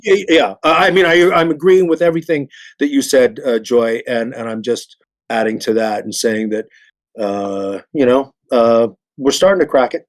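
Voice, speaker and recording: A man talking casually in a panel discussion, in a halting way with frequent pauses and repeated words. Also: mid-range voice.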